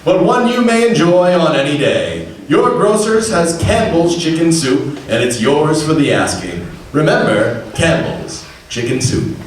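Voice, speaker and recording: A man reading a radio commercial with a cheerful sales pitch, heard on an old broadcast recording. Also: sing-song, a little slow, very echoey, far from the mic, a little hiss or hum, low-quality recording. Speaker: announcer.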